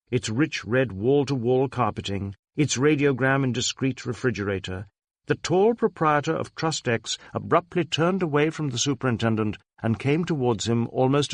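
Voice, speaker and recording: A man narrating a detective novel as an audiobook, with measured, dramatic storytelling. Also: mid-range voice, dry recording, clean studio sound.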